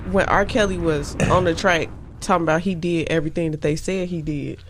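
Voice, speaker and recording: A woman's voice: on the low side, fairly even intonation, fairly quick. A woman interviewing a guest in a casual, conversational radio style.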